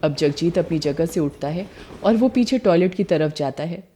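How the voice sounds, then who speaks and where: A woman's voice: lowish voice, lively intonation, normal speed, background noise. A woman narrating a scripted cartoon story.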